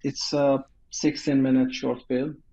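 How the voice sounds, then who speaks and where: A man from Iran with a Persian accent, answering interview questions haltingly with many fillers and shy laughs, heard over a video call from home. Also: mid-range voice, little pitch movement, gravelly, warm tone, muffled recording. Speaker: guest animator.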